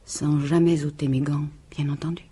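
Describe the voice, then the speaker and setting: A woman's voice: low-pitched, flat delivery, moderate pace, dark tone, husky. An actress playing a bitter, jealous woman bent on revenge, theatrical and sardonic, in 1950s French radio drama style. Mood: cold, menacing. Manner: theatrical, sardonic, menacing monologue. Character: bitter, jealous, vengeful scorned woman turned cold killer.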